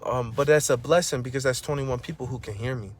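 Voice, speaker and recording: A man vlogging a casual motivational monologue, preachy and full of slang, often saying "bro" and "you know what I'm saying," getting loud and high when he is fired up. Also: fairly even intonation, fast talker, slight background noise.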